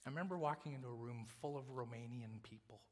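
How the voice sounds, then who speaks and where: A male preacher giving a sermon, moving between loud emphatic lines and hushed whispers. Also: mid-range voice, fast talker. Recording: long reverb of a large hall.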